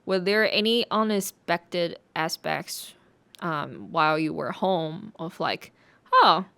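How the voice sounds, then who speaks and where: Slow, smooth-voiced female interviewer. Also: on the low side, lively intonation. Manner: warm fillers, many "you know". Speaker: podcast host.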